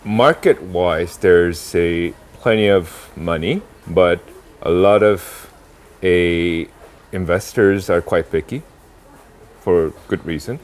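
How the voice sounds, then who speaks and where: A young adult man from South Korea answering interview questions about impact investing, pausing often with fillers and restarts. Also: low voice. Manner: halting, many fillers and restarts.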